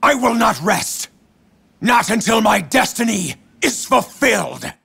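An actor playing an earnest, determined young warrior in a war video game, speaking solemnly and dramatically. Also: male voice, sits high, big pitch swings, a little slow, creaky, pushed and bright, husky, heavily acted. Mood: solemn resolve. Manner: solemn, resolute.

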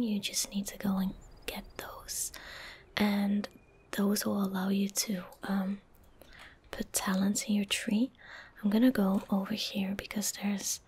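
A young woman doing soft-spoken ASMR gameplay commentary, gentle and breathy, close on a studio microphone. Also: mid-range voice. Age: young adult. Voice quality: breathy, whispered. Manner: gentle, unhurried, casual. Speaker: ASMR game streamer. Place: indoor streaming room.

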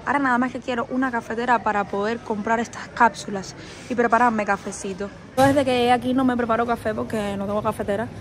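A woman's voice: fairly high pitch, quick pace, slight background noise. Chatty Cuban woman vlogger. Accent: Cuban Spanish. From Cuba. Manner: chatty, excitable, addresses viewers directly. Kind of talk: casual walk-through vlog. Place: supermarket aisle.

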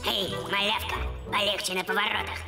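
A woman plays a streetwise magic fish, cocky and slangy. The voice crackles with fry and sounds echoey and set back from the mic, over cartoon music. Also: mid-range voice, flat delivery, fairly quick, lightly acted. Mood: indignant. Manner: brash, slangy, offhand. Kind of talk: animated children's cartoon acting. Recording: echoey, distant, music behind.

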